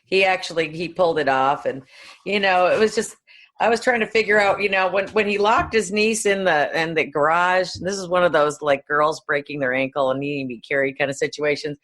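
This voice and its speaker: A woman's voice: fairly low pitch, a little fast, a bit bright. Blunt, colloquial woman chatting. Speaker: podcast co-host. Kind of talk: casual film discussion.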